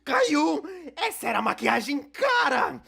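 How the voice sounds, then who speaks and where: An exasperated cartoon mother, acted out by a man with a Brazilian accent. The voice is high and very animated, with a scolding manner. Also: slow, creaky, heavily acted.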